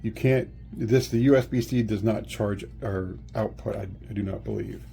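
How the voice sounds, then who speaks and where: A man's voice: fairly low pitch, normal speed, vocal fry, dark tone. A man giving a casual product demonstration, explaining it plainly step by step. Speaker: product reviewer. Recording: faint background noise, room ambience.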